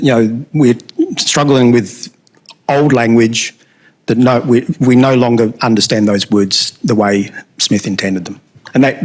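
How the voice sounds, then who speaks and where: A man in the audience at a panel discussion, making a long comment in a measured, searching way. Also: mid-range voice, rough recording. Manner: measured, searching, slows down to make a point. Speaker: audience member.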